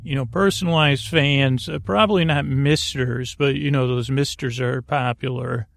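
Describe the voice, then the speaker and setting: A man hosting a sleep podcast in a creaky voice, delivering a soothing, meandering bedtime monologue that halts and restarts mid-phrase. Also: mid-range voice, steady tone, normal speed, no room sound. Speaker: podcast host.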